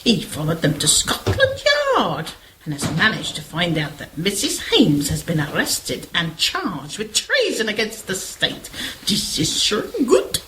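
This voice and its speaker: A woman playing a cold, deliberate, menacing spymaster bent on revenge in a radio play. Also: medium pitch, bright, forceful tone, rough recording. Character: scheming vengeful female foreign spymaster. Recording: clear background noise.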